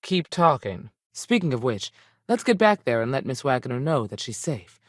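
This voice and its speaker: Casting: principled female ranger in a sci-fi game, strict yet protective, folksy, very expressive pitch, dry close recording. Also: normal speed, no room sound, exaggerated voice. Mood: steady, firm. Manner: plain-spoken, authoritative, folksy. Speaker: video game character actor. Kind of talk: scripted game dialogue.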